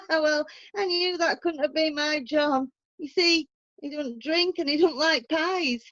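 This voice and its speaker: Blunt Yorkshire widow female actor, comic courtroom drama; dry delivery, high voice, close dry sound. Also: takes it slowly, fairly bright tone, no room sound, dull audio. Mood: dryly amused. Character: blunt, fierce working-class Bradford widow giving evidence. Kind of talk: comic courtroom play acting.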